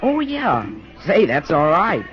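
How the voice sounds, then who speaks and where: A man's voice: high voice, wildly swooping pitch, takes it slowly, faint music behind, full character voice. An actor playing a spirited new boy cadet in an old-time radio serial, earnest and slangy. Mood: curious. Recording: noisy, muffled old radio recording.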